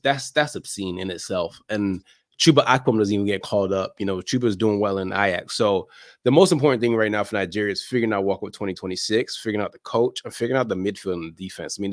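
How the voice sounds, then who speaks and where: Fast-talking man with a lowish voice. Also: no room sound, studio quality. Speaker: guest, soccer podcast creator. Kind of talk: animated casual interview chat.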